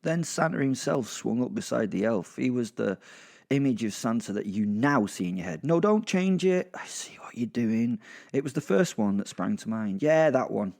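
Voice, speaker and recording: A man's voice: mid-range voice, expressive, moderate pace, creaky, darkish tone. A man with a British accent narrating a horror audio drama in the first person, chatty and wry with sweary asides. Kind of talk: first-person conversational storytelling.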